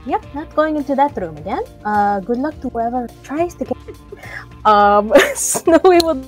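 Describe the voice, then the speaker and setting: A woman's voice: high-pitched, big pitch swings, measured pace, soft background music. A woman playing a tabletop game, describing her character's moves in a casual, giggly, hesitant way. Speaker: player. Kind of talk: casual tabletop role-play chat.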